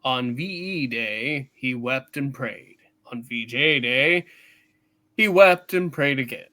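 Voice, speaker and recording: A man narrating a scripted play in a hammed-up, deliberate style with dramatic pauses. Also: medium pitch, expressive, pushed and bright. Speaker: narrator in a live play reading.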